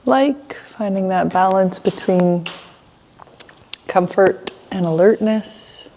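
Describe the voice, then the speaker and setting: A woman teaching a guided meditation, speaking gently in short phrases with long pauses between them. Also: mid-range voice.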